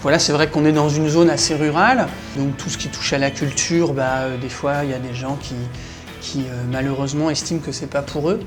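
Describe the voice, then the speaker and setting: A man's voice: fairly high pitch, fairly even intonation, brisk, over music. A man from the Paris region giving a casual interview, hesitant and searching for his words. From Paris region, France. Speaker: interviewee, café-gallery owner.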